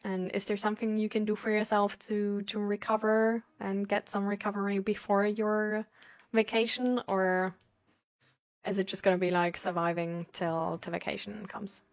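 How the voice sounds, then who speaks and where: A woman's voice: mid-range voice, level tone, normal speed. A woman from Germany chatting casually as a live host, rambling and thinking aloud in a hesitant, giggly way. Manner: giggly, laughs often while talking. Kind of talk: casual chat.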